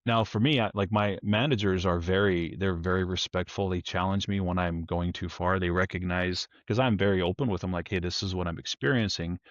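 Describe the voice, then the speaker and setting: A man with a deep voice, talking candidly in a rambling way, often correcting himself and joking. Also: fairly even intonation, brisk, few pauses, no echo, lo-fi sound. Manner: rambling, self-correcting, uses humor; louder, forceful speaking tone. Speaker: podcast guest. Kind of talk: candid conversational talk.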